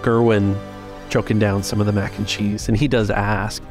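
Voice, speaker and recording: A man's voice: on the low side, little pitch movement, normal speed, music in the background. A man acting as game master, narrating scenes and refereeing a tabletop role-playing game.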